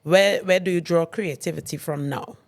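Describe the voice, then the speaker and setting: A man's voice: fairly high pitch, moderate pace, vocal fry. A man hosting a casual interview, prompting his guest and nodding along with frequent short affirmations. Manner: encouraging, lots of affirming backchannels. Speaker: interview host.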